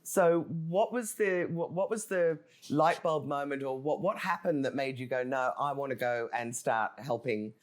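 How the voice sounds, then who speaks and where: A woman's voice: a bass voice, normal speed, hardly any pauses. A woman hosting a casual interview show, informal and effusive in how she reacts and asks questions.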